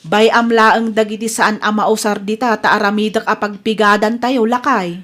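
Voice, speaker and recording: An actress with an Ilocano accent playing a thrifty, trusting village wife in a radio comedy drama. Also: female voice, medium pitch, fairly even intonation, moderate pace, a bit bright, natural voice. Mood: dismayed.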